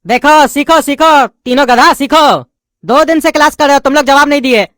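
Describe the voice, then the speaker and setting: A woman's voice: high-pitched, moderate pace, fairly bright tone, no echo, studio quality. A woman voicing an impatient male teacher who scolds and mocks his students, with a lilt. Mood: scolding. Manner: scolding, sarcastic.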